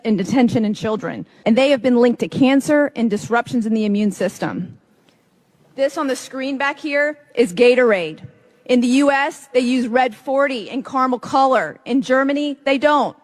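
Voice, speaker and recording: Brisk woman listing food facts. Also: a little high. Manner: brisk, listing facts one after another. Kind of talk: informative video narration.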